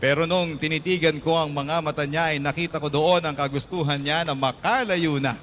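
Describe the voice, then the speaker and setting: A man's voice: fairly high pitch, fairly even intonation, normal speed, a bit bright, slight background noise, lightly acted. An actor narrating as a man earnestly recounting his own love story in a radio drama.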